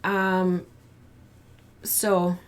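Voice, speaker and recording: A woman hosting a live-streamed fiber arts show, chatting casually with many ums and restarts, her voice going hoarse. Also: fairly low pitch, monotone, drawn-out words. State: losing her voice.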